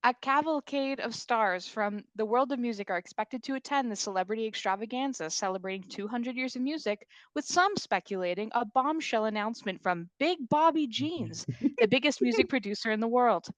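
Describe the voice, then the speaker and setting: A woman's voice: on the high side, animated, fairly quick, hardly any pauses, dry recording, pitched above the performer's own voice, natural voice, poor audio. A woman playing an upbeat, polished television news anchor in a comedy table read, with a presentational delivery. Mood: upbeat, formal. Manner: presentational broadcast reading.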